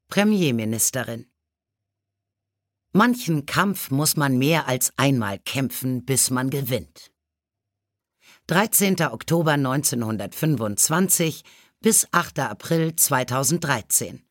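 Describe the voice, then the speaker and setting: Storybook narrator, scripted biography reading, medium pitch, very little room sound. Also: no room sound, studio quality. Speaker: audiobook narrator.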